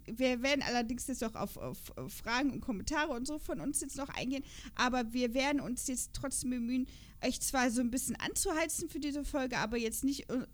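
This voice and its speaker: A woman co-hosting a podcast, chatting casually about an audio drama in a rambling, self-correcting way. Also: on the high side. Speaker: podcast co-host.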